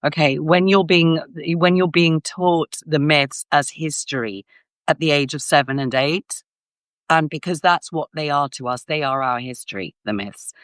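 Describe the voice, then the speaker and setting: A woman from Greece, a guest scholar, talking in a casual interview in an animated, emphatic way, often restarting her sentences. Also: low voice, normal speed, dry recording.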